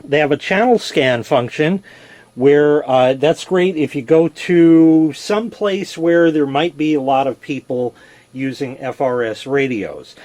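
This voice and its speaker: A man's voice: medium pitch, darkish tone, no echo. A man presenting a casual product demonstration, explaining things step by step in a plain-spoken, conversational way.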